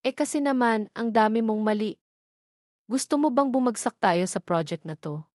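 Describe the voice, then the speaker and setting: A feisty young college woman, acted by a female narrator, bossy and quick to scold. She argues with irritation in a romance audio drama, recorded dry. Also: on the high side, a little mellow, dry recording, lightly acted. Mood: irritated. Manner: scolding, argumentative.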